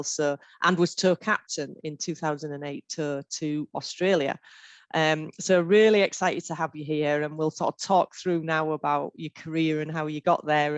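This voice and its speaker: A woman's voice: sits low, dry recording, muffled recording. A woman hosting an interview, enthusiastic and encouraging with her guest. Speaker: interviewer, host. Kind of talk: casual interview.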